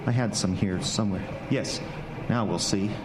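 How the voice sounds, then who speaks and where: A man's voice: on the low side, lightly acted. A man acting an obsessive, irritable older scientist haunted by guilt, in old-time radio drama style, brooding and snapping. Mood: irritable, commanding. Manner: brooding, halting self-talk and snappish orders. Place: stage before a live audience. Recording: echoey hall, live stage, background noise.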